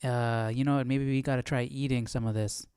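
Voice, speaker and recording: A man hosting a live study stream in casual chat, reading aloud and thinking out loud with informal, slangy questions. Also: mid-range voice, fairly even intonation, brisk, background noise.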